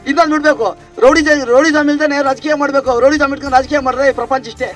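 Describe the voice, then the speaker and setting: Male member of the public, very high fast voice, insistent, faint music underneath. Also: fairly even intonation. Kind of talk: street interview complaint.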